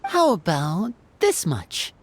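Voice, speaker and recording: A woman's voice: lowish voice, huge pitch swings, slow, husky, heavily acted. A woman playing a serious, courteous monster hunter in a video game, formal and polite. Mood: composed, polite.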